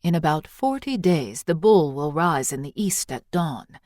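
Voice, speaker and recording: Casting: woman as elderly fantasy priestess, patient teacher, lowish voice, measured and teasing, dry recording. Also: lively intonation, no room sound. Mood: solemn, instructive. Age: older. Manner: measured, instructive, gently teasing.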